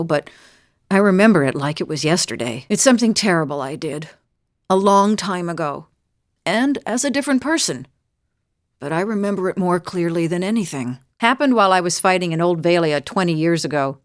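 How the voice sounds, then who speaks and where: A woman's voice: lowish voice, no room sound, studio quality, lightly acted. A woman voicing a bold, teasing female fighter and wanderer in a fantasy video game, with a wry, conversational delivery. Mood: troubled, confiding.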